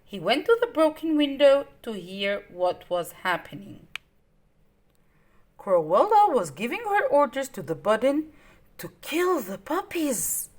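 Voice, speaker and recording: A woman reading a children's story aloud in an expressive, dramatic way. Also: high-pitched. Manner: expressive, dramatic, deliberate phrasing.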